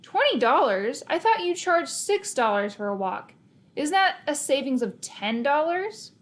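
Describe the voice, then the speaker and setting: A woman's voice: high-pitched, sing-song, a little slow, pitched above the performer's own voice. A hesitant grown woman from a children's storybook, played by a woman reading aloud. She speaks in a raised, questioning tone, doubtful of what she is being sold.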